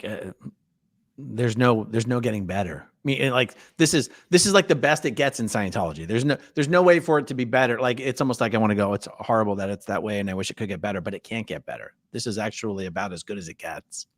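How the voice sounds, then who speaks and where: A man hosting a casual interview, probing and halting, repeating words for emphasis as he works toward his point. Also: medium pitch, no echo, studio quality. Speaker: host interviewing a guest on a recorded call.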